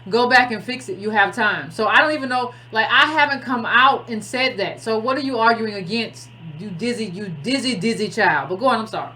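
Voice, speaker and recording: A woman hosting a call-in talk show, animated and speaking straight to her callers. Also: a little high, fairly quick, hardly any pauses, low-quality recording. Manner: animated, talks to callers directly. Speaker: show host.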